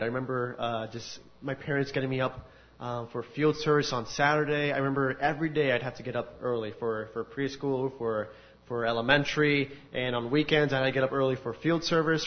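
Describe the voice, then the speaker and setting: A man from the United States giving a personal testimony at a faith gathering, telling his story with earnest, animated energy. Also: medium pitch. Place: large room with an audience. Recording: dull lo-fi recording.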